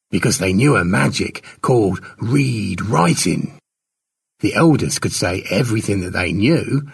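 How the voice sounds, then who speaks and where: Guy doing an old-time tribe storyteller, slow n dramatic, husky, pitched up, big swoops, audiobook tale. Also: recorded from a distance, heavily acted.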